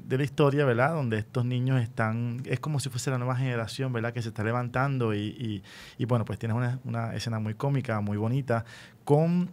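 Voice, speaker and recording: A man with a Puerto Rican accent hosting a casual radio interview. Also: a little mellow. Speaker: radio host. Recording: faint low rumbling noise.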